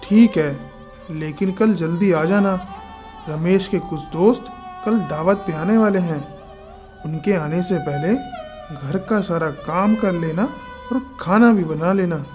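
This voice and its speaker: A man playing a stern, bossy village headman giving orders in an animated moral story. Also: high voice, slow, over music. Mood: commanding. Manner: bossy, instructing. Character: stern village headman, father of grown son.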